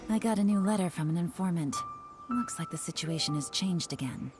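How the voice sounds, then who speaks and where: An actress playing a composed, shrewd intelligence agent in a video game, speaking in a measured, self-assured, teasing way. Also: female voice, fairly low pitch, lively intonation, over music, close to an everyday voice. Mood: formal, composed. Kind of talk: scripted video game voice acting.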